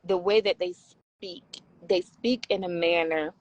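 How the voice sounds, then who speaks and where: A woman with a raspy voice hosting a casual talk discussion, often affirming her guest. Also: fairly low pitch, measured pace, no room sound, muffled recording. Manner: affirming, frequent agreement sounds. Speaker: talk show host.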